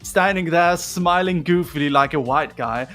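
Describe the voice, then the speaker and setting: A man's voice: a little high, moderate pace, fairly bright tone, faint music behind. A man from Denmark whose English has a British accent learned in school. He chats casually as a guest, laughing often and swearing freely. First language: Danish. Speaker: VTuber guest. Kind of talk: casual chat.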